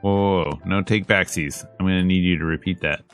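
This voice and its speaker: A man with a low voice reads story narration and dialogue aloud as a streamer would. His delivery is casual and slangy, like someone playing through a visual novel, with music playing faintly underneath. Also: fairly even intonation, a little fast, a bit bright. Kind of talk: casual let's play read-aloud.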